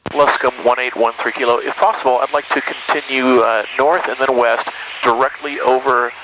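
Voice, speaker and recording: Man piloting, clear engine noise behind. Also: mid-range voice, fairly even intonation, moderate pace. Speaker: pilot narrating his own flight. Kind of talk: radio calls and casual cockpit commentary. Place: cockpit of a small airplane in flight. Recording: loud small-plane cockpit noise, radio.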